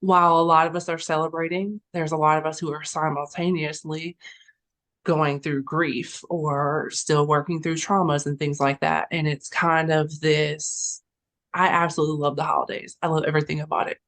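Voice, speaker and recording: A woman's voice: sits low, flat delivery, moderate pace, a bit bright. A woman in her thirties hosting a podcast interview, talking casually and at length, with frequent small laughs. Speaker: podcast host.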